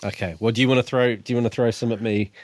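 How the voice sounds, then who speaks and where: A man's voice: medium pitch, fairly even intonation, racing. A man co-hosting a podcast in a casual discussion about books. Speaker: podcast co-host.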